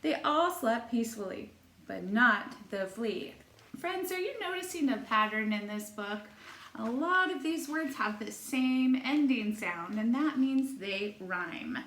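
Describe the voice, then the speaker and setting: A woman teacher reads aloud to small children at a very leisurely pace. Her voice sits a little high, and she spaces out phrases expressively. The room is noticeably echoey. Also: animated, unhurried, fairly bright tone. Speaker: first-grade teacher. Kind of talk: read-aloud of rhyming storybook for young children.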